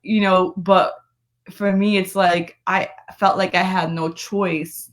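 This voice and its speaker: Lower-pitched American woman, earnest and emphatic. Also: steady tone, slow. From United States, born in Brooklyn, living in Florida. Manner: earnest, emphatic, often seeking agreement with "right?". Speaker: guest, community activist and political strategist. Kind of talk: conversational interview on a live stream.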